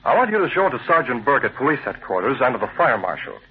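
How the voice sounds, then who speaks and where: A man playing a calm, shrewd investigator in charge in an old-time radio crime drama, measured, curious and authoritative. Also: medium pitch. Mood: measured, commanding. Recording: muffled, hissy vintage radio recording.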